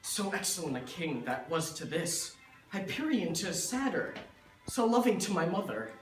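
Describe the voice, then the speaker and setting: A man playing a grieving, bitter young prince, declaiming a Shakespearean soliloquy with drawn-out emphasis. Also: high-pitched, sing-song. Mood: indignant. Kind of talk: dramatic Shakespearean recitation. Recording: echoey room with music behind.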